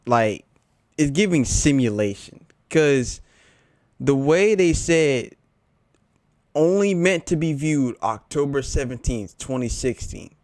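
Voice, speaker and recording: Slow-talking casual man, dry close sound. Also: medium pitch, expressive, a little mellow, dry recording. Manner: informal, slangy, repeats phrases for emphasis. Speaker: reaction video host. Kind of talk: casual reaction commentary.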